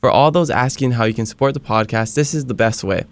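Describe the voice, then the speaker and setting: A man's voice: medium pitch, fast talker. A man from Hilo, Hawaii, whose first language is Hawaiian, hosting a casual podcast interview and laughing often. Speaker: podcast host.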